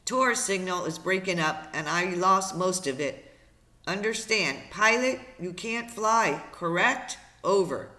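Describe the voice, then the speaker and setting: A man plays an authoritative radio operator in an adventure audiobook. He speaks in clipped, procedural phrases, with a raised, bright and forceful voice. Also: high voice, slow pace, pitched above the performer's own voice, heavily acted. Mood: urgent, clipped. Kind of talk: dramatized audiobook character.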